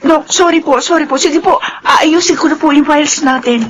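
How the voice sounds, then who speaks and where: An actress playing a meek, apologetic, flustered secretary in a Filipino radio drama. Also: female voice, sits high, moderate pace, muffled recording, slight background noise, natural voice.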